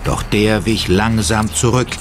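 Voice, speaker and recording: A man's voice: on the low side, fairly even intonation, measured pace. A man narrating a horror audio drama as a scripted third-person storyteller. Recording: dramatic music underneath.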